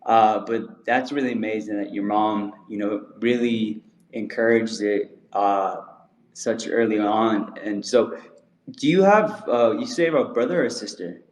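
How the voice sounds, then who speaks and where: A man's voice: fairly low pitch, a little slow. A man hosting a casual interview, laid-back and slangy, with plenty of fillers. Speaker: podcast host. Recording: roomy echo.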